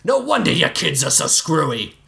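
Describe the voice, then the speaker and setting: A man's voice: a little high, sing-song, normal speed, gravelly, bright, forceful tone, voice pushed up, full character voice. A man voicing a snarling, combative rival restaurant owner.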